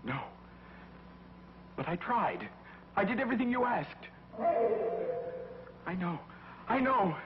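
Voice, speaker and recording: A man's voice: high-pitched, sing-song, slow pace, pauses often, a little hiss or hum, heavily acted. An actor playing a dazed, captured male enemy agent who pleads with someone unseen, from a 1950s TV series. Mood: pleading. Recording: dull 1950s TV soundtrack, echoey reverb.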